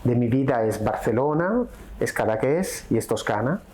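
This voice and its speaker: A middle-aged man from Italy with an Italian accent, a podcast guest speaking in a reflective, unhurried way and often ending thoughts with a question tag. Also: mid-range voice, lively intonation, darkish tone, a little hiss or hum. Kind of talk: casual conversation.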